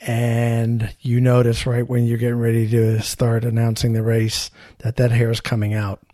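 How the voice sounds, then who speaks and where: A man's voice: mid-range voice, completely flat delivery, normal speed, mellow, no room sound, clean studio sound. A man hosting a podcast, prompting his guest in an easygoing way with casual fillers. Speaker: podcast host. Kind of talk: interviewer.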